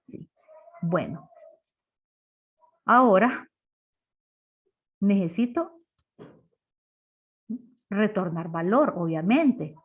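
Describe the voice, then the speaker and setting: A woman's voice: fairly low pitch, breathy edge. A woman teaching a programming class step by step, asking questions as she explains. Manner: didactic, asks rhetorical questions. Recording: dull lo-fi online class audio.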